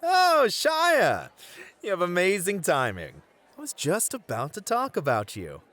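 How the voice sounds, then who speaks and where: Male actor as doting, teasing bunny club host for ASMR roleplay; very animated intonation. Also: high voice, a little slow, no room sound, exaggerated voice. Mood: playful, fond. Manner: affectionate, teasing, attentive. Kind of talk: flirty ASMR roleplay addressed to the listener.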